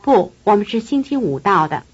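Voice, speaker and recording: A woman speaking model sentences on a language tape, slow and carefully enunciated. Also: medium pitch, big pitch swings, slightly rough. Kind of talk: drill prompts. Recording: dull lo-fi tape recording.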